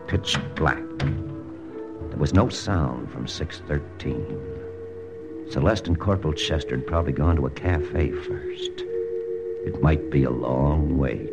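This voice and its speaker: A man's voice: rumbling low, creaky, exaggerated voice. An actor in a 1940s radio drama playing an American spy officer who narrates his story, terse and brooding. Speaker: narrator and lead character.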